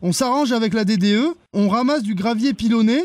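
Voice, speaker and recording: A man playing an earnest, bumbling charity deputy director on a prank call, speaking politely and hesitantly with deadpan insistence. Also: high-pitched, brisk, no echo, natural voice. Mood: insistent. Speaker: prank caller.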